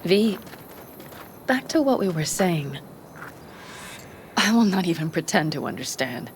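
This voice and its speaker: A woman's voice: lowish voice, sing-song, a little slow, slight background noise. An actress playing a blunt, concerned young nomad woman in a video game, speaking earnestly in formal, uncontracted phrasing. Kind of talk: naturalistic video game acting.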